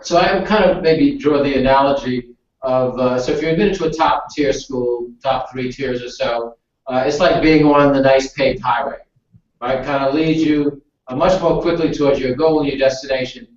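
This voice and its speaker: A man's voice: mid-range voice, fairly even intonation, moderate pace. A man on a panel advising students, adding explanatory comments on a conference call. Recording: very echoey, distant, dull conference call.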